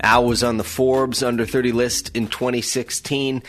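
A young man with a mid-range voice hosts a podcast. He asks questions warmly, often stumbling into repeated words before landing the point. He grew up in Nova Scotia. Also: mid-range voice, little pitch movement, normal speed, music in the background. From Nova Scotia, Canada. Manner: friendly, stammering restarts. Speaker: podcast host. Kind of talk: podcast interview.

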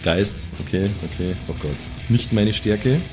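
A man's voice: on the low side, measured pace. A man playing a tabletop game, thinking aloud in a hesitant, slangy, casual way. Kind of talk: casual improvised tabletop play. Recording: music behind the voice.

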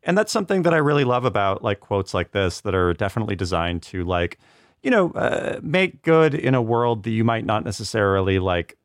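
A man co-hosting a podcast, talking in a relaxed, jokey way with plenty of filler words. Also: fairly low pitch, fairly quick, dry recording, studio quality. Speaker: podcast co-host. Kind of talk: casual podcast discussion.

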